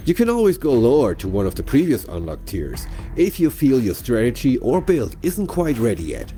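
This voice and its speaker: A man narrates a scripted game explainer in a mellow, relaxed voice with lively pitch. He is chatty and playful, talking straight to the viewer over music. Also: moderate pace. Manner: chatty, playful direct address. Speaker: game presenter.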